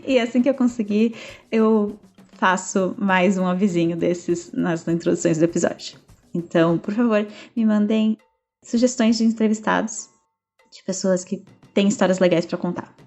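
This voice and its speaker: A woman's voice: medium pitch, steady tone, normal speed, a little mellow, faint music behind. A young woman hosting a podcast, chatty and upbeat as she reads her intro and asks questions. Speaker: podcast host. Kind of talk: scripted intro and interview questions.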